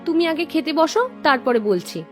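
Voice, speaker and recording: A woman's voice: high voice, sing-song, fairly quick, faint music behind. A woman voicing a hospitable, slightly anxious village housewife in a cartoon. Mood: concerned, gentle. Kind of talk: Bengali cartoon voice acting.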